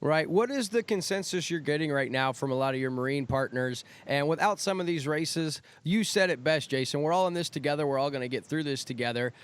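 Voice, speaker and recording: Upbeat guy hosting, friendly n smooth talker, voice a bit on the high side. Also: brisk, few pauses, no echo. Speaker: show host.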